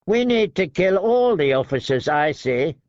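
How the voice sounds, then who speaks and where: A man doing a high whiny nervous deserter. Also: sing-song, unhurried, a little mellow, no echo, lo-fi sound. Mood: whining. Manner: complaining, sneering. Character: thin nervous whining male deserter.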